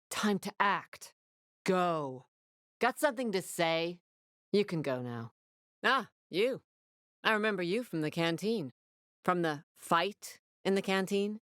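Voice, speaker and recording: Low-voiced, blunt woman officer. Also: no room sound, clean studio sound. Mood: brusque. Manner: blunt, commanding, curt. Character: tough, blunt, no-nonsense female peacekeeper officer. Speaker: military officer character in a video game. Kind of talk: video game dialogue acting.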